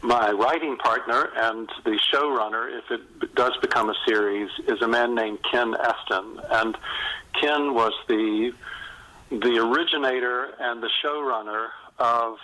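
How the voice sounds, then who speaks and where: A man's voice: mid-range voice, measured pace, bright, forceful tone, poor audio. A middle-aged man, an author, talking conversationally as a guest in a podcast interview.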